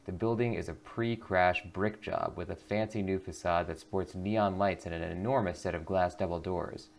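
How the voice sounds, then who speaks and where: Low-voiced man doing game commentary. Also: steady tone, moderate pace. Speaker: gaming video host. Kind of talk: casual game commentary with read-aloud narration.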